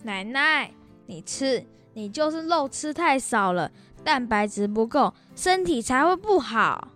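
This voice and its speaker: Young female high-voiced, considerate big brother. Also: animated, measured pace, a bit bright, faint music behind. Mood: earnest. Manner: earnest, considerate. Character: responsible, considerate boy, elder brother. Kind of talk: children's educational radio drama acting.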